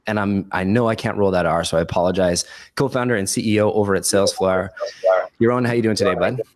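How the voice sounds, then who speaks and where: A man with a low voice, raspy from the tail end of a cold, hosts a podcast webinar. He talks informally, addressing people like buddies. The sound is dry and close. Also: quick pace, no room sound. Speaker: podcast host. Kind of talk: casual webinar hosting.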